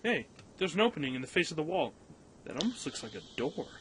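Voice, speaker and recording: A man's voice: on the high side, very animated, quick pace, fairly bright tone, a little hiss or hum. A man playing a cautious, earnest young pilot in a radio play, with a slightly hesitant manner. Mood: surprised, wary.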